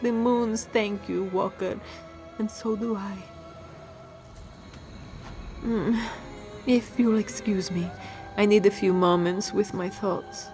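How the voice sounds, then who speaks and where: A woman's voice: mid-range voice, steady tone, slow, long pauses, music in the background. A woman playing an anxious, grieving and bitter lover in scripted fantasy game drama. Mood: sombre.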